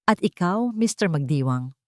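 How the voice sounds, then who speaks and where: A woman's voice: on the low side, animated, moderate pace, dry recording, close to an everyday voice. A storyteller woman plays a scornful Filipino matriarch from a drama tale. She is haughty and menacing, sneering at those beneath her, in a dry recording. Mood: threatening. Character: haughty, scornful rich matriarch, cruel mother-in-law.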